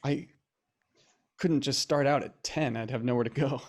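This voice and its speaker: A man playing a gentle, awkward, earnest young man in a naturalistic audio drama, speaking hesitantly and fumbling for words. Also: mid-range voice, moderate pace, lightly acted. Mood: nervous, tender.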